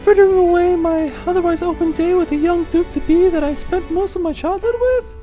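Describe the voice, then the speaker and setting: Audio drama role: young runaway noblewoman pilot, played by a woman, high voice, wry and casual, music bed. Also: fairly quick, warm tone. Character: young noblewoman turned privateer pilot, breezy, blunt.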